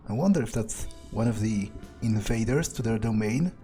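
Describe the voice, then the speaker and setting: A man's voice: lowish voice, lively intonation, normal speed, dark tone, faint music behind. A man muttering and swearing as he thinks aloud in character while playing a game. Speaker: game player.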